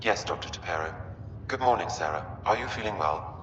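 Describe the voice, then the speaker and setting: Casting: calm game AI, deep and detached, formal delivery, dull echoey sound over hum. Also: male voice, brisk. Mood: calm, clinical. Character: calm ship artificial intelligence, male voice, clinical, courteous. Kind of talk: sci-fi video game acting. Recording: echoey, reverberant, muffled, over humming rumble.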